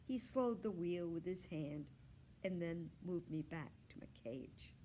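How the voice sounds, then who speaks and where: A woman reading a children's storybook aloud in an animated, expressive way. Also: fairly low pitch, a little slow, slight background noise.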